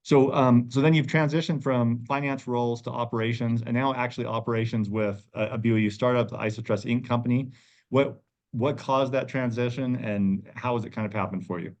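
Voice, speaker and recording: A man's voice: medium pitch, fairly even intonation, brisk, a little mellow. A middle-aged man hosting a podcast in a conversational interview style. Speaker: podcast host. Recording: dull, slightly lo-fi sound.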